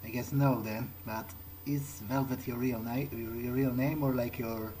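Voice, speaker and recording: A man's voice: mid-range voice, fairly even intonation, unhurried, slight background noise, lightly acted, low-quality recording. A man playing an awkward, dry vampire adventurer in a hesitant, rambling improvised commentary on a video game. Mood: curious, awkward. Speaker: let's player. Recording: loud game music behind voice. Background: video game soundtrack.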